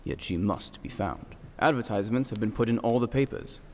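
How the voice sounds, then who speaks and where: An actor playing a reserved, stern young clergyman in an audio drama, speaking formally with dry, measured delivery. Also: on the low side, darkish tone, slight background noise, natural voice. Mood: cool, deliberate. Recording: long hall-like reverb.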